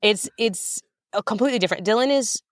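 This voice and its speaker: A woman's voice: on the high side, quick pace, dry recording, studio quality. An American woman chatting casually as an interview guest, enthusiastic and informal, talking in tumbling asides. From United States. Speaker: writer-director guest.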